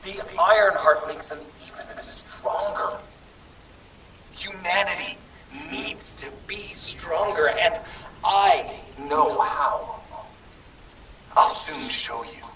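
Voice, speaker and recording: A menacing, embittered traitor soldier from a video game cutscene. He talks slowly and ominously with a taunting edge. The voice rings with echo, far from the mic, over noise. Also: high voice. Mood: grim, scornful. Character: bitter male traitor soldier, menacing, obsessed with human strength. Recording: echoey, distant, noisy game audio.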